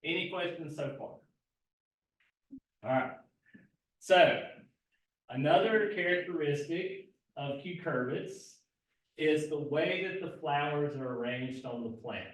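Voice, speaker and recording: Soft-spoken man lecturing in an echoey room. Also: on the high side. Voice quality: soft-spoken, not projecting. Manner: explanatory, with frequent fillers and self-corrections. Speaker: agricultural extension agent teaching a gardening class. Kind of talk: live classroom lecture. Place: indoor class room. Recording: very echoey room, mic far from speaker.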